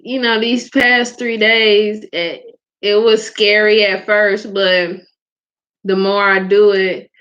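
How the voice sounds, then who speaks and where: Soft-spoken woman with a bright lo-fi voice. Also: medium pitch. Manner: soft-spoken, chatty, laughs often. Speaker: host of a live faith vlog. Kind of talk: casual spoken reflection. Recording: dull lo-fi sound.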